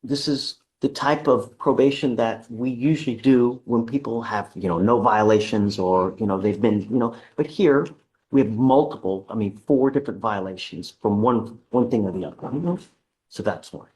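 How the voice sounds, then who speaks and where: A man speaking as a judge at a courtroom hearing, measured and deliberate, stern but patient. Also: medium pitch, darkish tone, low-quality recording.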